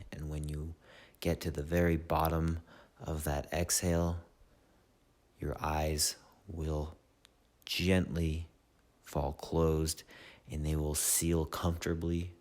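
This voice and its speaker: A man leading a guided meditation in a soothing, measured way, with long pauses between short phrases. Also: extremely low pitch. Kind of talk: slow guided meditation narration.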